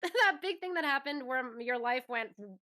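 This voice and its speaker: Bright, higher-voiced woman chatting casually. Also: expressive, normal speed. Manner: informal, broken-off phrases. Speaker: podcast guest, voice actor. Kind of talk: casual chat.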